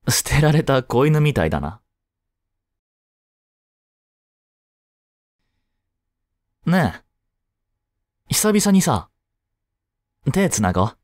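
A man acts out an easygoing, caring teenage boy in a Japanese romantic audio drama. His casual, reassuring talk is slow and unhurried, with widely moving intonation. It sounds close and dry, as if spoken straight to his childhood friend. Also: mid-range voice, huge pitch swings, darkish tone, no room sound. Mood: tender, teasing.

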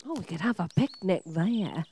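A woman's voice: lowish voice, animated, moderate pace, warm tone, breathy edge. A woman playing a fretful, chiding older wife in a radio play, anxious and exclamatory. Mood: mildly hopeful.

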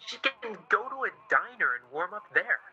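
A man's voice: sits high, very animated, normal speed, bright, forceful tone, husky, highs cut off, faint music behind, heavily acted. An actor playing a stubborn, agitated man nursing an old hurt in a naturalistic radio drama, sulky and defensive.

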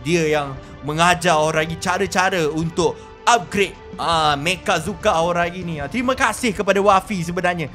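High-pitched Malaysian man commentating on a game. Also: normal speed, faint music behind. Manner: exclamatory, animated reactions. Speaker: gaming video host. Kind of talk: excited gameplay commentary.

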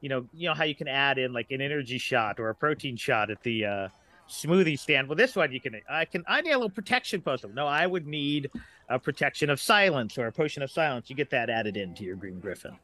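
A man with a bright, crisp-edged voice at a middling pitch talks casually as a podcast guest. He rambles and halts, doubling back on his words while he explains. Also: expressive. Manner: halting, rambling delivery with repeated words.

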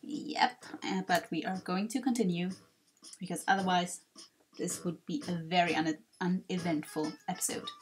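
A woman's voice: lowish voice, steady tone, moderate pace, a little hiss or hum. A woman hosting a let's play, chatting casually and offhand in a rambling way over a game. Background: video game music.

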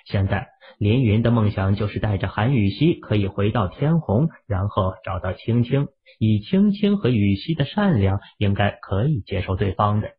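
A man narrating an audiobook drama in a lively storytelling manner. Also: fairly low pitch, moderate pace, hardly any pauses, husky. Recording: muffled phone-like audio.

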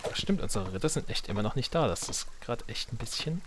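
A man's voice: medium pitch, animated, fast, background noise. A man giving casual let's play commentary, muttering and thinking aloud to himself. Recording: game music behind the voice. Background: video game music.

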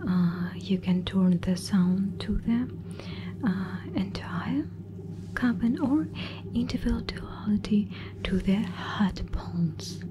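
A woman in her thirties whispering breathily in an ASMR flight attendant roleplay, with halting, hesitant phrasing, close to the microphone. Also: mid-range voice, noisy background.

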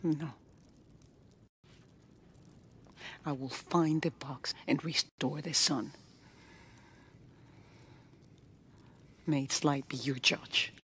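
A woman's voice: low voice, steady tone, a little slow, a bit bright, a little hiss or hum. An actress playing a steadfast, defiant young queen in a video game cutscene, speaking in a grave, resolute way.

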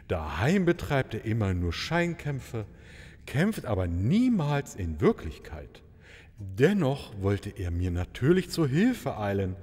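A male actor plays an effusive oriental prince in an audio play. He speaks slowly and pompously, with florid flattery and sweeping melody, in a long hall-like reverb. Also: mid-range voice.

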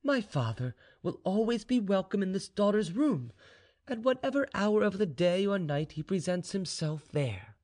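A man voicing a calm, dutiful young noblewoman, reserved and soft-spoken, with formal phrasing in a storybook reading. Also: sits high, normal speed, pitched above the performer's own voice.

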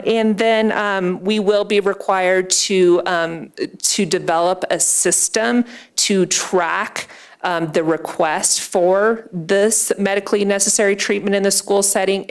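Woman, middle-aged, deputy superintendent, lower voice, measured briefing, podium mic. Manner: measured, many "um" fillers. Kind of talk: policy briefing at a public meeting. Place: school board meeting room, standing at a podium. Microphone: podium microphone.

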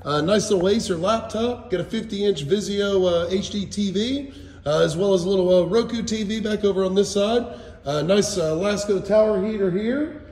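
A man in his forties with a high-pitched voice presents auction goods in a casual, folksy way, running through items as he goes. He is filming on a phone, and his voice rings around a big, echoing warehouse hall. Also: moderate pace, darkish tone. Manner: folksy, addresses viewers as guys. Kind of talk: casual live walkthrough commentary, listing items. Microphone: handheld phone. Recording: echoey warehouse hall reverb.

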